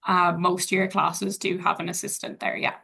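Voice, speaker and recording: Brief Young Irish woman, teacher guest, bright tone, relaxed and reflective. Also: fairly low pitch, normal speed, creaky, breathy edge. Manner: casual, thoughtful, friendly. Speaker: guest, English teacher in Vietnam. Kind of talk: webinar answers, casual.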